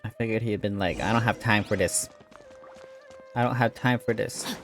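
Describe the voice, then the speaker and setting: A man's voice: on the low side, moderate pace, a bit bright, faint music behind. A man giving casual, sarcastic, joking commentary over gameplay as a streamer.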